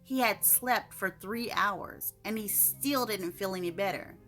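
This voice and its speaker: Female storyteller, bright forceful tone, expressive short-fiction read over light music. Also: mid-range voice, a little slow. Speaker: author reading own short story.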